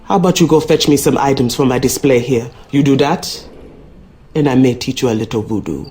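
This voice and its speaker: A man's voice: medium pitch, a little mellow, slight background noise, close to an everyday voice. Man playing a mysterious Southern voodoo shopkeeper. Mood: canny. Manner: folksy, ominous, unhurried. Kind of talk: video game character acting.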